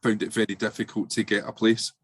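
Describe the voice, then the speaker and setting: A man's voice: lowish voice, flat delivery, fairly quick, fairly bright tone, slightly rough, dry recording, studio quality. Chatty man on a video call. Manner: chatty, with frequent fillers. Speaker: volunteering speaker. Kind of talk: casual video-call discussion.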